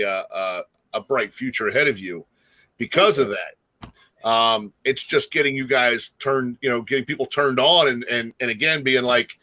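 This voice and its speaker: A man with a bright, forward voice at an average male pitch hosts a relaxed music interview. He speaks informally and rambles a little, circling back over his words in an encouraging way. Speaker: talk show host interviewing a musician. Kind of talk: casual music interview chat.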